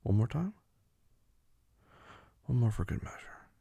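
A man playing a dominant, teasing lover in an intimate audio roleplay, murmuring in a breathy, husky voice that often drops to a whisper. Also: medium pitch, sing-song, no echo, highs cut off, heavily acted. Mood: firm, controlled. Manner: slow, murmured, commanding, with soft hums.

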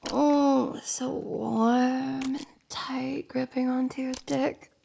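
A woman playing a teasing, dominant young roommate in an intimate audio roleplay, speaking slowly and often in a whisper. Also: high-pitched, fairly even intonation, dark tone, slight background noise, exaggerated voice. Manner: slow, husky, often whispering.

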